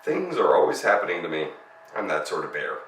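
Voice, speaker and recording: A man's voice: sits low, wildly swooping pitch, a little fast, a bit bright, reverberant, distant mic, slight background noise, full character voice, poor audio. A man voicing a polite, earnest, accident-prone young bear in a storybook.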